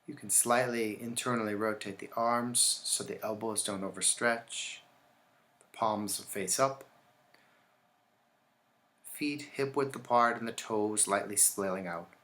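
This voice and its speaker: A man teaching yoga nidra, leading a guided relaxation in a calm, instructional manner with deliberate pauses. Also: lowish voice.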